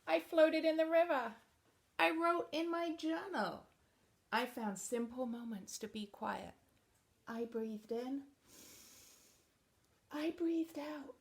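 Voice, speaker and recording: Woman, picture-book read-aloud, slow and unhurried, somewhat high voice, soft chatty asides. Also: creaky. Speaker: storybook reader.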